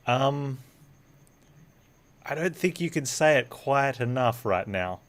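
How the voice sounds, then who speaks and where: A man's voice: mid-range voice, animated, takes it slowly. A man giving casual, improvised game commentary as a streamer, with a wry, joking, play-acting manner.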